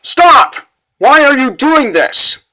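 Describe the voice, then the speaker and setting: A man's voice: very high voice, very animated, slow pace, pushed and bright, pitched above the performer's own voice, heavily acted. A man voicing an earnest, eager nine-year-old child in a comic dub, pleading and questioning.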